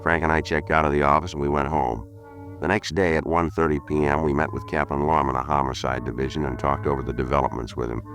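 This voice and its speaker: An actor playing a terse, unflappable police detective, clipped and deadpan, in a 1950s radio crime drama. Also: male voice, extremely low pitch, fast, faint music behind. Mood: matter-of-fact.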